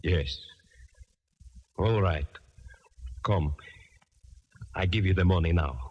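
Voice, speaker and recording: An actor playing an anxious restaurant owner, formal and pleading, in a 1940s radio drama. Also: male voice, sits low, slow pace, slightly rough, lo-fi sound, exaggerated voice. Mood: resigned.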